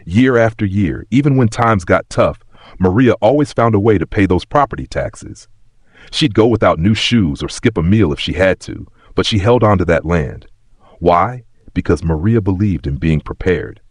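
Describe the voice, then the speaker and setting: A man narrates a sentimental tale in a very low, husky voice. His pitch moves in lively swings as he tells it with folksy drama. The sound is dry and close. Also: normal speed, no echo. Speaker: storyteller, narrator. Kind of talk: scripted sentimental story narration.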